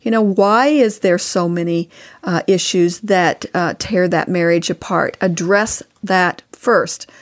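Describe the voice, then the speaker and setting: A woman's voice: fairly low pitch, slow. An older woman, past her mid-sixties, giving advice in an earnest, emphatic, conversational way as a podcast guest. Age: older, past sixty-four. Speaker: podcast guest, author and co-host spouse.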